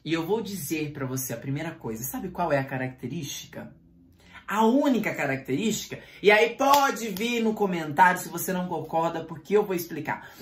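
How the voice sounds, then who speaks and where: A man teaching an online voice lesson, talking straight to his viewers with lively rhetorical questions. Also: a little high, normal speed, slight background noise. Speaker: singing teacher. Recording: roomy echo.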